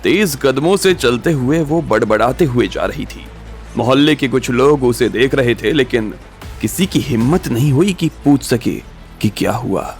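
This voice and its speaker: A male audiobook narrator with a medium-pitched voice. He tells a scripted story in drama form. Faint music runs underneath. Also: lively intonation, measured pace.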